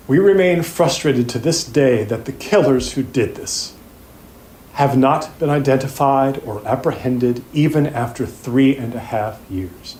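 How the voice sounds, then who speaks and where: A man acting as a grave plaintiffs' lawyer, giving a measured, formal opening statement to a jury in an audio drama. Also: mid-range voice, darkish tone, slight background noise. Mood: grave, frustrated. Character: sombre male plaintiffs' trial lawyer addressing a jury. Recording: echoey room.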